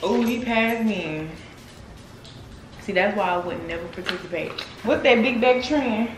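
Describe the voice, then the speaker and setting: A woman's voice: medium pitch, expressive, a little slow, roomy sound, background noise. A young woman chatting candidly to her viewers and her dog in a casual vlog. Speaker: vlogger. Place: apartment rooms and a parked car.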